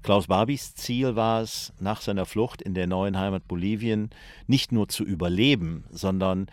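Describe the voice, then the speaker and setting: A man giving unscripted expert commentary, deliberate and searching for precise wording as he explains. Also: lowish voice, no room sound.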